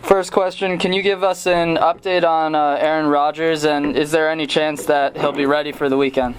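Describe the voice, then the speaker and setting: Higher-voiced man on a noisy call. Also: fairly even intonation, moderate pace. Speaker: conference call moderator. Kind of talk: informal press call questions. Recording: noisy phone conference line, clicks.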